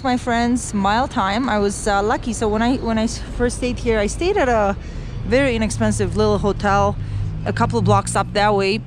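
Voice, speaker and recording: A middle-aged woman from Romania talks as she walks, chatty and loose, full of fillers and restarts. Her voice sits at a middle pitch, recorded on a handheld camera outdoors. Clear street traffic hums behind her. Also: a little mellow. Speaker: travel vlogger. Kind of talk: casual walk-and-talk vlog. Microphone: handheld camera while walking.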